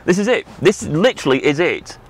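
A man's voice: on the high side, animated, fairly quick, breathy edge. A young British man talking casually as a vlogger in a car. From United Kingdom. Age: young adult. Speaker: vlogger driving on a road trip. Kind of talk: casual vlog chat. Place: inside a moving or parked car. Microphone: dashboard action camera. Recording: car and road noise.

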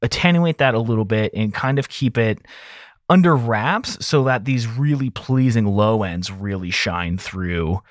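A man's voice: on the low side, measured pace, no echo, clean studio sound. A man explaining audio mixing in a casual tutorial style, informal and thinking aloud as he goes.

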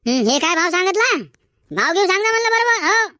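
A performer voicing a haggling, bantering cartoon chilli-market trader, squeaky and folksy, in comedic dialogue. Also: very animated, brisk, pushed and bright, no echo, clean studio sound, heavily acted. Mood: insistent haggling. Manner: folksy, insistent bargaining. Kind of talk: comedic cartoon dialogue in colloquial rural Marathi.

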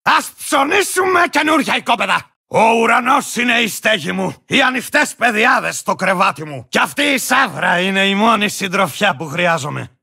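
A war-crazed little creature from a video game, boastful and hot-tempered. The voice is piercingly bright and full of gravel, swinging wildly up and down. It rants and barks like a pompous, unhinged commander. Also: male voice, heavily acted. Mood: boastful, musing. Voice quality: gravelly, shrill, cracked. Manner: ranting, pompous, barking, bursting into cackles. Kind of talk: cartoonish video game character acting.

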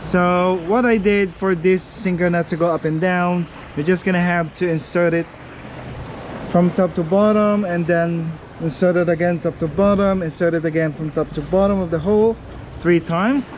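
A middle-aged man chatting casually as he fishes from a rocky breakwater, laughing and whooping when he gets excited, recorded on an action camera. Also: high-pitched, moderate pace. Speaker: fishing vlogger. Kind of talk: casual fishing vlog commentary. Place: outdoors on a rocky breakwater by the sea. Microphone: head-mounted action camera. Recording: wind and surf noise outdoors.

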